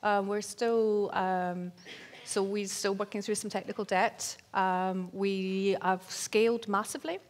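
A woman's voice: mid-range voice, measured pace. A middle-aged woman giving a conference talk and answering questions on a large stage, telling stories with lots of fillers. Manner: lively storytelling with laughs and fillers. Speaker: conference speaker. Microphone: stage microphone at lectern.

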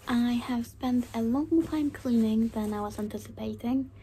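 A young woman vlogging from her bedroom in a chatty, self-deprecating way, her voice slightly croaky after an illness. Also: a little high, moderate pace, dark tone, a little hiss or hum. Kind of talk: casual self-care vlog chat. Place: small bedroom at home.

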